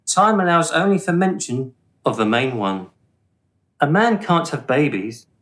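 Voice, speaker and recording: A man playing a smooth, condescending afterlife official in a radio play, officious and deliberate in manner. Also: fairly high pitch, sing-song, a bit bright. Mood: smugly amused. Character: smooth, condescending male afterlife bureaucrat judging the dead.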